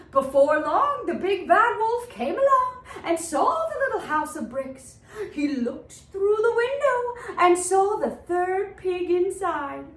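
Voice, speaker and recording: A woman reading a storybook aloud to preschoolers in a theatrical, expressive teacher's manner. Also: very high-pitched, recorded from a distance. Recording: echoey room.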